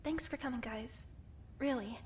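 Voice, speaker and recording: A woman's voice: sits high, normal speed, slight background noise, lightly acted. A woman voicing an upbeat young woman who leads a group meeting in a peppy, organized, slightly nervous way, in a fan audio drama.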